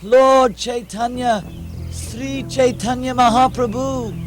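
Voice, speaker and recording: Very high-voiced worried devotee, acted by a man. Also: fairly even intonation, slow, soft background music. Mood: urgent. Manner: calling out, urgent. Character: earnest, worried male devotee leading a search. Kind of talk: puppet show acting.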